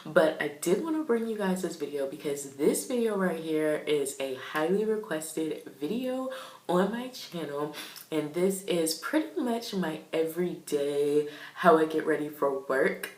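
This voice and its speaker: Young woman, stuffy nasal cold voice, raspy, low pitch, echoey room, chatty makeup tutorial. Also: animated, a little slow, darkish tone. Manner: chatty and upbeat, frequent "um" and "super". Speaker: beauty vlogger. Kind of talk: casual makeup tutorial chat.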